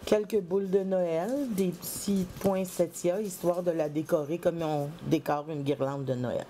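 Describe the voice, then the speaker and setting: Woman, head cold, low pitch, soft faint room hiss, casual halting voice-over. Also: fairly even intonation. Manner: halting, many fillers and restarts. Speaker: planner vlogger. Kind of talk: casual voice-over while decorating a planner. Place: home craft table, indoors.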